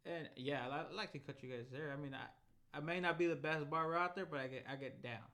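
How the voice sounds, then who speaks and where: Young man, twenties, relaxed slangy podcast chat, rambling restarts. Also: fairly high pitch, a little fast. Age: 20s. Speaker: podcast co-host. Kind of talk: casual chat.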